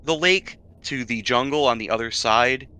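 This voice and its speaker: A man's voice: mid-range voice, normal speed, bright, forceful tone, smooth. A man narrating and explaining a tabletop game as game master, in a conversational, improvised way.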